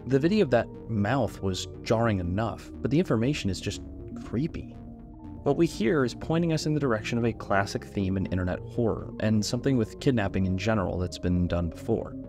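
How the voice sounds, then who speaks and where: A man's voice: fairly low pitch, expressive, brisk, music in the background. A man hosting a video essay, giving scripted narration and commentary.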